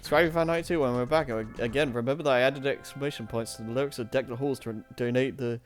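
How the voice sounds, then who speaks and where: A man's voice: medium pitch, steady tone, fast, faint music behind. A man reading storybook narration with casual asides, often stumbling and correcting himself. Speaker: narrator of a streamed fan-fiction reading.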